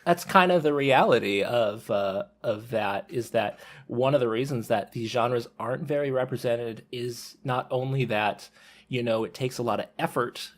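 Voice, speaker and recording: A man's voice: medium pitch, normal speed. A man talking thoughtfully in a casual podcast discussion.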